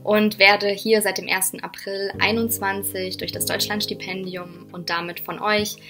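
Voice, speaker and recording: A woman's voice: mid-range voice, little pitch movement, moderate pace, over music. A woman of twenty-six, a student giving a self-introduction to camera. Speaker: literature student.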